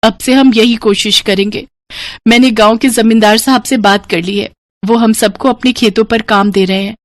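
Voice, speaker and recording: Woman voicing devoted village sister, high voice, earnest, emotional, protective, dry cartoon dub. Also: steady tone, moderate pace, no room sound, lightly acted. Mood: cheerful, hopeful. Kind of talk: Hindi moral cartoon dubbing.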